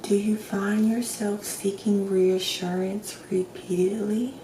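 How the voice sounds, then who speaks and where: A woman doing a soft-spoken ASMR roleplay as a calm doctor, asking questions gently in a hushed, near-whispered voice with long pauses. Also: medium pitch. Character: calm doctor giving a personality assessment. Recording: roomy echo, clear background noise.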